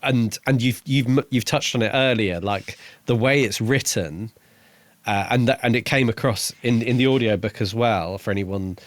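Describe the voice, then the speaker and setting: A man co-hosting a podcast, talking casually about a book in a halting way, building each thought piece by piece. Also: medium pitch, dry recording. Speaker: podcast co-host reviewing a book. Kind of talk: casual conversational book discussion.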